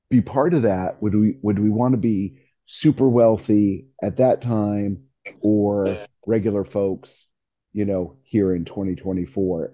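A man in his sixties chatting casually in a group discussion, rambling and thinking aloud in an animated way. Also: lowish voice, lo-fi sound. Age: 60s. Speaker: discussion participant.

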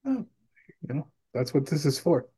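A man's voice: fairly high pitch, big pitch swings, normal speed, dark tone, husky. A man hosting a casual chat in an agreeable, folksy way.

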